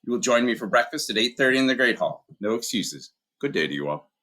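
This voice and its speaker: A man voicing a calm, wise old male headmaster who speaks in a measured, dryly humorous way. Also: medium pitch, pitched above the performer's own voice. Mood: firm. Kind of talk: audiobook character voice.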